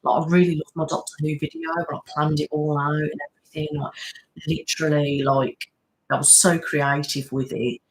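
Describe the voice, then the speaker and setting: A woman's voice: low voice, flat delivery, normal speed, poor audio. A woman, chatting casually on a live stream and answering viewers' comments in a rambling, friendly way. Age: 30s. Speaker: ASMR channel host.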